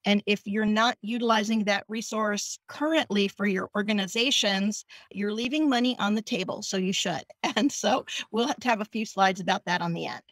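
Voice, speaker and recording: A woman welcomes attendees to an online nonprofit meeting over a video call, her talk chatty and informal. Her voice has a middle pitch and a close, dry sound. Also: moderate pace, hardly any pauses, dry recording. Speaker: chapter co-host. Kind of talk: event welcome.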